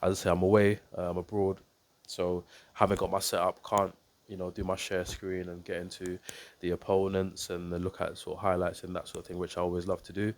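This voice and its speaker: Deep, flat-voiced man with a stuffy cold. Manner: measured, thinking aloud with fillers and restarts. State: congested with a cold. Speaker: boxing coach and YouTuber. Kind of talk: casual fight breakdown to camera.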